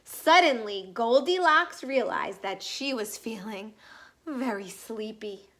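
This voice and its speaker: High, bright, animated woman storyteller. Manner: animated, deliberate storytelling with emphatic words. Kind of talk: expressive children's read-aloud narration.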